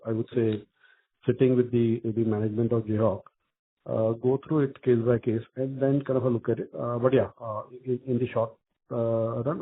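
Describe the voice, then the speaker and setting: A man with an Indian accent answering as a company executive on an earnings call, speaking haltingly with many repeated filler phrases. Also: mid-range voice. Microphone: telephone conference call. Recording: muffled narrow phone line.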